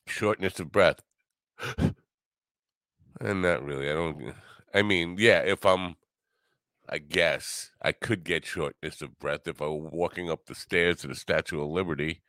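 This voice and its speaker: An older man hosting his own podcast in a rambling solo monologue, grumbly and low, wry and self-mocking. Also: a bit bright, no room sound. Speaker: podcast host.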